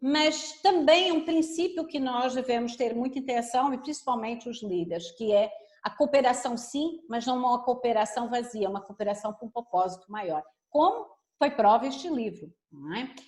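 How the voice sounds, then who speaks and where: Earnest high-voiced woman from Angola. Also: expressive, moderate pace, roomy sound. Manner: earnest, with many "não é?" tags. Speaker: book co-author. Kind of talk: speech at a web conference.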